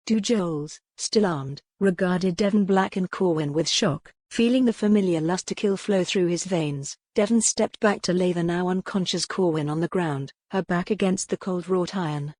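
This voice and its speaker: A woman narrates a historical romance audiobook in a fairly low register. Her delivery is scripted dramatic storytelling, recorded dry with hardly any room sound. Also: darkish tone, no room sound.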